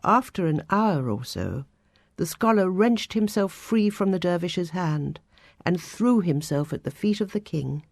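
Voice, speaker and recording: A woman storyteller reads a scripted tale with great deliberation. Her voice sits on the low side and moves very slowly. The sound is dry, with no room around it. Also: lively intonation, no room sound. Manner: measured, deliberate. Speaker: audiobook storyteller. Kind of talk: scripted story narration, read aloud.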